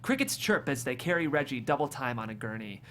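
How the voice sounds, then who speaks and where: Stage directions reader: mid-pitched, descriptive, amused, scripted comedy narration, slight room noise. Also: sing-song, fairly quick, a bit bright. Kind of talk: scripted screenplay narration at a table read.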